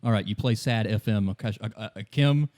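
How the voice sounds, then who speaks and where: A man narrating a tabletop game in the second person as game master, improvising in a halting way and repeating phrases. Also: lowish voice, darkish tone.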